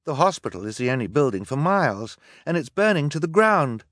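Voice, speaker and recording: A man's voice: medium pitch, lively intonation, normal speed, fairly bright tone, no echo, studio quality, pitched above the performer's own voice, lightly acted. A man voicing a worried young girl in an audiobook, crying out anxiously. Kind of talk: audiobook character acting.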